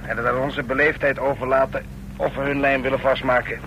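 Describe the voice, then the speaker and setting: A man's voice: level tone, fairly quick, muffled recording, natural voice. An actor playing a sardonic, commanding gang man and jumpmaster, curt and brusque, in a radio drama. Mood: sardonic, instructing. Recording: noisy old radio recording with engine rumble.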